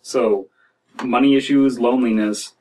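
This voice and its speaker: A man's voice: mid-range voice, steady tone, unhurried, a little mellow. Wry man, distant from the mic. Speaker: podcast host reading and reacting to horror stories. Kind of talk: casual commentary and story reading. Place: small dim room indoors.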